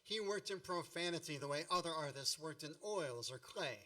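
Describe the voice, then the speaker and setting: An actor as bright, wry, mock-grandiose male storyteller. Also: a little high, lively intonation, moderate pace. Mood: wry, nostalgic. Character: nostalgic grown man wryly retelling his boyhood mishaps. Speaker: narrator. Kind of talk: storytelling radio play narration. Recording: distant microphone.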